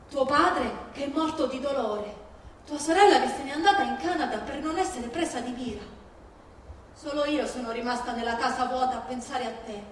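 A woman's voice: high voice, natural voice. A woman playing a grieving, bitter mother speaking to her dead son in a theatrical monologue. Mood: bitter reproach. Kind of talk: theatrical dramatic monologue in a staged reading. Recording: very echoey, distant, faint noise.

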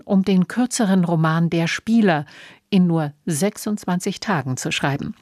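A woman radio announcer reading a scripted introduction in a measured, factual manner. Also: deep voice, a little mellow, no echo, clean studio sound. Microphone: close studio microphone.

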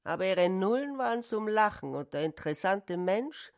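A woman narrating a literary radio play with deliberate phrasing. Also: medium pitch, animated.

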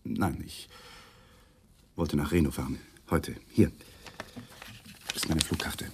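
A man's voice: rumbling low, slow pace, slightly rough, exaggerated voice. A man acting in a 1980s German radio crime play as a defensive, uneasy husband who gives hesitant, guarded answers to police. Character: defensive, uneasy husband under police questioning, awkward lawyer. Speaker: actor in a radio crime drama.

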